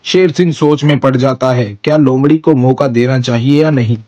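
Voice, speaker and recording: A man's voice: mid-range voice, moderate pace, dark tone, lo-fi sound. A man narrating an animal story for children as its storyteller.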